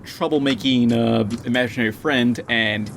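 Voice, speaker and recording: A man's voice: mid-range voice, moderate pace, vocal fry, fairly bright tone. Blunt male podcast host, noisy recording. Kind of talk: casual panel banter, profane. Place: online voice call.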